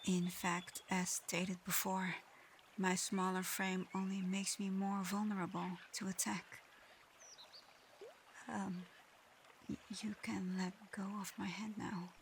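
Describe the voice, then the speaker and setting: A woman plays a proud dragon girl in human form, guarded and easily flustered. She speaks formally and slowly, in a narrow, even line that can catch into shy stammers. It is an intimate ASMR roleplay, with clear ambient noise behind the voice. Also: medium pitch. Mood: proud, curious. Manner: halting, stammering when flustered, otherwise measured and formal. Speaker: audio roleplay performer.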